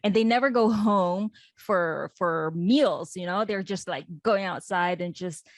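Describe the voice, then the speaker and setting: A woman's voice: fairly low pitch, unhurried, no echo. Chatty woman, dry sound. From Philippines. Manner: chatty and reminiscing, with frequent fillers and light laughs. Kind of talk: casual conversational interview.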